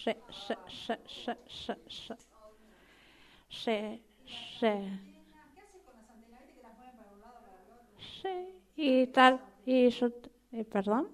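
Woman acting as a chatty mother cockroach, high voice, Argentine accent, coy and sentimental, repetitive, improvised radio comedy. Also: expressive, very slow, full character voice. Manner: repetitive, coy, hesitant, conversational asides. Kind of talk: improvised comic radio theatre monologue in interview form.

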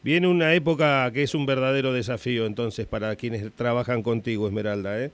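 A man's voice: medium pitch, fairly even intonation, fairly quick, clean tone. A man hosting an interview, asking long, rambling questions with frequent fillers. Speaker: radio host, interviewer. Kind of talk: radio interview.

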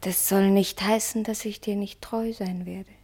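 An actress in a German radio play as a restless, vain, stage-struck young wife, breathy and intense, often sinking towards a whisper. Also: female voice, medium pitch, steady tone, a little mellow. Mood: wistful, insistent. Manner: dreamy, intense, drawn-out phrases.

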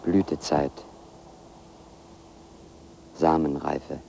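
Low dark voice, solitary brooding wanderer, measured literary monologue, radio drama, some background noise. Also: male voice, level tone, creaky, heavily acted. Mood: brooding, observant. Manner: measured, deliberate, literary first-person narration. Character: solitary brooding man walking into a dark forest. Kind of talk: radio play interior monologue.

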